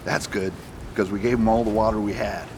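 A man's voice: on the low side, fairly even intonation, fairly quick, a little hiss or hum, natural voice. A man playing a determined US marshal in a radio drama, speaking earnestly and insistently. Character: determined male US marshal, stubborn and dutiful. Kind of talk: amateur radio drama acting.

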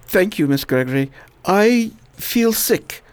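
Weary, grave man, acted by a woman, animated pitch. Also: slow pace. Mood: resigned. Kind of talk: radio play acting.

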